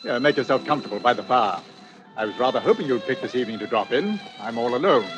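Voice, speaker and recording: An actor playing a proud, chatty chief inspector with a taste for the gruesome, in a vintage radio suspense drama. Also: male voice, mid-range voice, animated, fast, over music, natural voice. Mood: genial, boastful. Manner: chatty, hesitant, relishing gruesome detail. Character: proud, genial British police chief inspector with macabre hobby. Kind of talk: 1940s radio suspense acting. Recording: muffled old-time radio recording.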